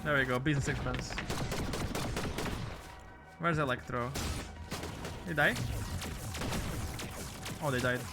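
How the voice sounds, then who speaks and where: A man's voice: mid-range voice, fairly even intonation, slow, long pauses, over loud music. A man from Portugal chatting loosely on a live game stream, joking, swearing freely, and making playful meowing noises. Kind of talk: casual gaming stream chat.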